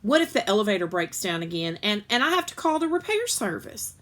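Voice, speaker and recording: A woman's voice: mid-range voice, sing-song, a little fast, a bit bright. A woman voicing a reluctant father in a children's story, coaxing and bargaining.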